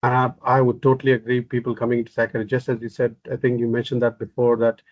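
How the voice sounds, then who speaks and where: A man speaking as a psychiatrist on a podcast, in a flat, level voice that barely rises or falls. He explains things in a measured way and often repeats words. The sound is dry and muffled. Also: mid-range voice, no room sound. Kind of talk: podcast interview answers. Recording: dry, dull, muffled audio.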